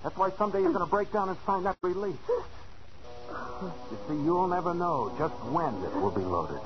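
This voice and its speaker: An actor playing a taunting, commanding husband with a cruel streak in a 1940s radio thriller. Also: male voice, high voice, big pitch swings, moderate pace, music in the background. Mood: mocking, sinister. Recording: noisy, dull old radio broadcast.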